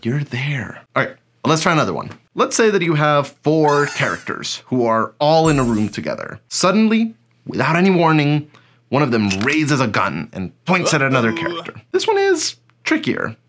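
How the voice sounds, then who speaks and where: A man's voice: fairly high pitch, huge pitch swings, normal speed, fairly bright tone, a little hiss or hum. A man giving scripted instructional narration as a course teacher, conversational and fond of rhetorical questions and acted-out examples. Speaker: instructor of an online audio drama course.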